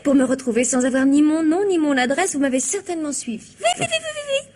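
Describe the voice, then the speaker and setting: An actress playing a playful, bossy wife in a radio comedy, effusive and teasing. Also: female voice, high voice, sing-song, fast, a little mellow, slight background noise. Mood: playfully flirtatious. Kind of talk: French radio comedy-mystery acting.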